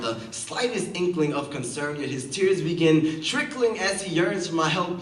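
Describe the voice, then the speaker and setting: High-pitched man performing dramatic spoken word. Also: a bit bright. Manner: dramatic, deliberate, emphatic delivery. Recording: roomy, distant mic with background noise.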